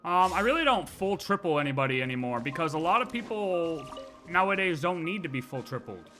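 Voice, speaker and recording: A man's voice: fairly high pitch, lively intonation, a little fast, bright, forceful tone. A man giving casual, rambling livestream gameplay commentary, often questioning and answering himself. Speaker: game streamer. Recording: game music behind voice.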